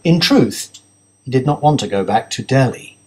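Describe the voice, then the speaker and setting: A male narrator reads a thriller audiobook. His voice sits at a medium pitch, and the delivery is scripted, dramatic storytelling. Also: lively intonation, moderate pace, a little mellow.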